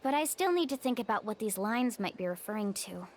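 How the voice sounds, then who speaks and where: A woman's voice: medium pitch, animated, fairly quick, a little hiss or hum, close to an everyday voice. An actress playing an upbeat, friendly young woman guide in a video game, thoughtful and encouraging. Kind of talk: scripted video game dialogue.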